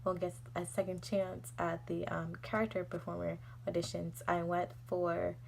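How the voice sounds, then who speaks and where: Young woman, heavy vocal fry, casual storytelling, exasperated rambling delivery, faint humming background noise. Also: on the low side, flat delivery, moderate pace, a little mellow. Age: young adult. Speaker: vlogger.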